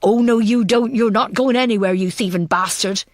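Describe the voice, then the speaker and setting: A woman's voice: a little high, moderate pace, no room sound, studio quality, close to an everyday voice. A woman voicing a furious, threatening old grandfather in a thriller audiobook. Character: furious old grandfather catching a young thief.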